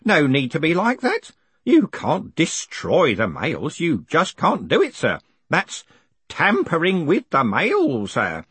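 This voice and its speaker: A man's voice: a little high, huge pitch swings, takes it slowly, fairly bright tone, slightly rough, no room sound, pitched above the performer's own voice, full character voice. A man voicing a fussy, deferential old postal worker in an audiobook, earnest and insistent. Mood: indignant. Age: older. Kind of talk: audiobook character acting.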